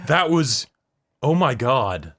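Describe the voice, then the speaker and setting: Awed man, slow and very animated. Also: mid-range voice. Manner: awed, exclamatory, short interjections. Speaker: reaction show co-host. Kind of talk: casual live reaction to anime. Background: music from the episode being watched.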